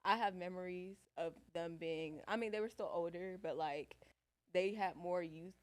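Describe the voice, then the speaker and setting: A woman's voice: on the low side, moderate pace. A woman co-hosting a podcast, chatting in a colloquial, conversational way. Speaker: podcast co-host. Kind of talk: casual chat.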